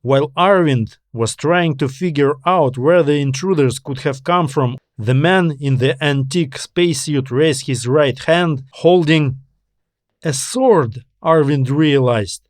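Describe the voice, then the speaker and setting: A man narrating a science fiction audiobook in a measured, deliberate way. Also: a little high, a little mellow, dry recording, clean studio sound. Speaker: author narrating own story.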